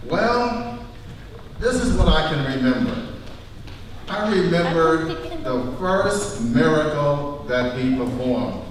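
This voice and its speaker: A man playing John the apostle in a live church drama, calmly and solemnly recalling Jesus in a measured, scripted storytelling style. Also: on the high side, a little hiss or hum. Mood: reflective. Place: large church, live performance. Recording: live church hall, very echoey, distant, music behind.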